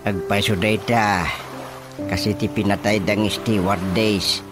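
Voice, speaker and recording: A man's voice: on the low side, steady tone, slow. A man playing a grave Ilocano village father. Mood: thoughtful. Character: worried father and village chairman. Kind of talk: radio drama acting. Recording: radio drama with loud music behind.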